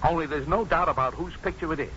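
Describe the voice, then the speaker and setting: Insinuating, emphatic snoop , male actor, slightly high animated voice, muffled 1950s radio drama. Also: quick pace, a little hiss or hum, close to an everyday voice. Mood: gloating. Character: gloating, insinuating male investigator confronting a professor.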